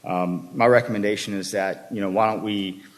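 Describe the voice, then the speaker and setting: Low-voiced retired man giving public comment. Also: steady tone. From Texas. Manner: measured, lists points one by one. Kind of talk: public comment at a city meeting.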